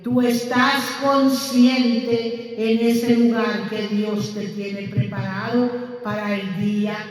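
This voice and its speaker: Slow, earnest older woman preaching. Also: a little high, level tone. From Guatemala. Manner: earnest, pleading, exhorting. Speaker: evangelist. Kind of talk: evangelistic sermon and personal testimony. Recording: echoey hall from far off with soft music.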